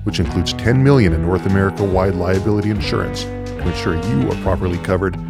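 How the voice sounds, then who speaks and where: A man's voice: low-pitched, quick pace, creaky, loud music behind the voice. A man speaking as a podcast host, in introduction and interview style.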